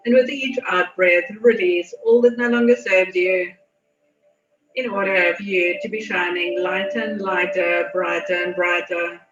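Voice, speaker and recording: A woman leading a guided meditation in a soothing, unhurried manner with long pauses. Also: fairly low pitch, steady tone, a bit bright. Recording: muffled, echoey, distant, music behind. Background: meditation music.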